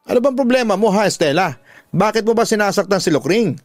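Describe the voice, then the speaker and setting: A man's voice: sits high, lively intonation, a little fast, no room sound, faint music behind, pitched above the performer's own voice. A man playing a harsh, domineering father in a radio drama, stern, berating and threatening. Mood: angry. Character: harsh, domineering father defending his mistress. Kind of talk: Tagalog radio drama acting.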